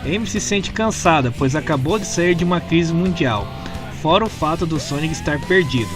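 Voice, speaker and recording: A man with a Brazilian accent reading scripted story narration in an expressive storytelling manner. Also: fairly high pitch, moderate pace, music in the background. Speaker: narrator of comic recaps.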